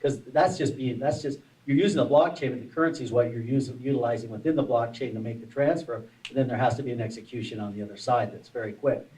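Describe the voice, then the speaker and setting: A man's voice: mid-range voice, fast, hardly any pauses, darkish tone. A man on a panel, explaining at length and often restarting his phrases. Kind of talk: panel discussion. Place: conference room at a forum. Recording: distant room mic.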